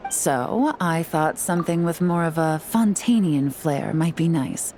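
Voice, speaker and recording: An actress playing a cool, shrewd and composed operative in a video game, speaking with calm assurance. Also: female voice, sits low, measured pace, slight background noise. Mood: playful, poised. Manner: calm, assured, smooth-talking.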